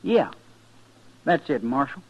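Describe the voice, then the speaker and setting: A man's voice: mid-range voice, big pitch swings, slow pace, exaggerated voice. An American man acting in an old-time radio western as a stubborn sixteen-year-old cowboy, terse, rustic and defiant. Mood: grimly determined. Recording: dull vintage radio recording.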